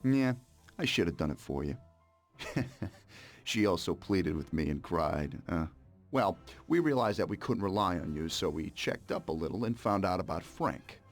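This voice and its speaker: A man's voice: sits low, animated, normal speed, soft background music. An actor playing a smug, cold-blooded mobster in a game cutscene, mocking and sardonic as he menaces an old partner. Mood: cruelly mocking. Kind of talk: dramatic video game cutscene acting.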